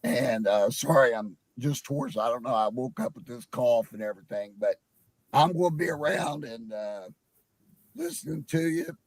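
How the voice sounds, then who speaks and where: A hoarse man with a cold calling into a live sports chat, rambling and hesitant with many fillers. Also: medium pitch, normal speed, dry recording. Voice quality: gravelly, husky. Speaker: caller on a live panel stream. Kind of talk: casual call-in chat.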